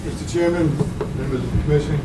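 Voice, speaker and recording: A man with a loud voice presenting his home project to a town commission, plainspoken and halting. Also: a little high, over a noisy crowd. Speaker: homeowner applicant. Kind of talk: presenting a project at a public meeting. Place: town meeting room. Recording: noisy meeting room audio.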